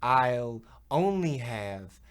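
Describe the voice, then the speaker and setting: A man playing an earnest, excitable Depression-era boy in a comedy radio play, with halting, folksy old-time exclamations. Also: mid-range voice, clear, resonant tone. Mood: sweetly cheerful.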